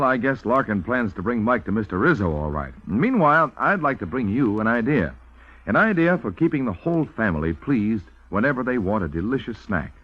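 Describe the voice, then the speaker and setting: A man's voice: mid-range voice, breathy edge, no room sound. An American man working as a radio announcer, reading a scripted sponsor spot with friendly vintage salesman's patter. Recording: dull, dry vintage radio recording.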